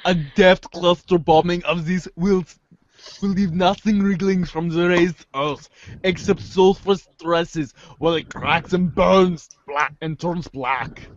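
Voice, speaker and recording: A man's voice: high-pitched, steady tone, slow pace, highs cut off. A man voicing a haughty, wordy teenage girl with pompous, florid phrasing in an amateur comic script reading. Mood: grandiose. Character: haughty, verbose teenage girl, snarky intellectual.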